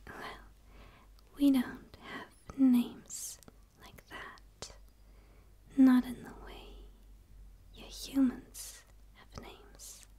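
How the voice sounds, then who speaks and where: A young woman playing a gentle, curious alien in a whispered ASMR roleplay, speaking in a soothing, hushed way with pauses, close to the microphone. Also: high voice, little pitch movement, breathy edge. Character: gentle, curious dark female forest alien.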